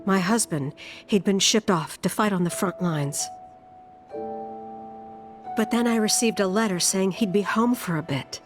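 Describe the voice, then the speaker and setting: An actress playing a weary, protective middle-aged mother in a video game, measured and plain-spoken. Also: mid-range voice, over music, close to an everyday voice. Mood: resigned, sad.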